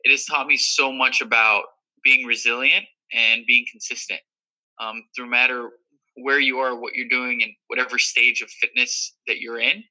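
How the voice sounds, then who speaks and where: Bright-voiced young man reflecting earnestly. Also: mid-range voice, little pitch movement, moderate pace, rough recording. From Miami, Florida. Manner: earnest, reflective, many fillers. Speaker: guest. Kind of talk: casual interview talk.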